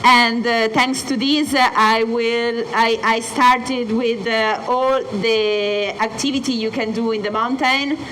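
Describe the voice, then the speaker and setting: A young Italian woman with an Italian accent, presenting as a mountain guide and pausing often with filler sounds. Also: a little high, steady tone, a bit bright, a little hiss or hum, rough recording. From Italy. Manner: many filler sounds and pauses. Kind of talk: hesitant presentation talk. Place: hotel event space.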